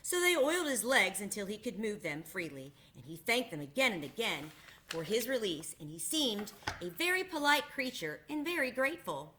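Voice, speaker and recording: A woman narrates a children's book aloud in an expressive storytelling manner. Her voice is bright and clear. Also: mid-range voice, moderate pace, slight background noise. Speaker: reader.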